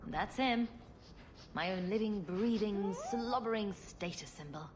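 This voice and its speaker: A woman's voice: medium pitch, measured pace, crowd noise, close to an everyday voice. Wry, direct woman hero played by an actress. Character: witty, level-headed young woman rogue hero. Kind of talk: video game cutscene acting.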